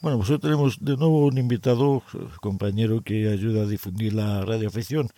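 Mellow-voiced man hosting a chat. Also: fairly low pitch, fairly even intonation, brisk, slight background noise. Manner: friendly, conversational, prompts with short acknowledgments. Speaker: podcast host interviewing a guest. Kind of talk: casual interview.

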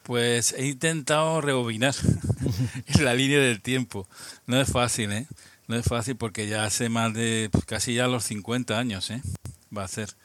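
A man in his sixties from Albacete with a Spain Spanish accent, a guest looking back on his past, speaking haltingly with frequent restarts. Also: medium pitch, steady tone, a bit bright, dry recording. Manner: halting, many restarts and repeated words, reflective. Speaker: guest, amateur radio operator. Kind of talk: casual interview, reminiscing.

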